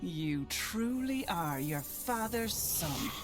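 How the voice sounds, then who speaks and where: An actress playing a defiant, menacing hired assassin in a fantasy game, taunting in an ominous manner. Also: female voice, fairly low pitch, animated, takes it slowly. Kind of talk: fantasy game cutscene acting. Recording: voice over loud background music.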